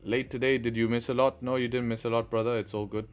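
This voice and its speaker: A man's voice: medium pitch, little pitch movement, fast talker, faint music behind. A man hosting a live trading stream, talking through charts and answering chat with repeated rhetorical questions, with a mixed, partly Arabic-sounding accent. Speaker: trading livestream host.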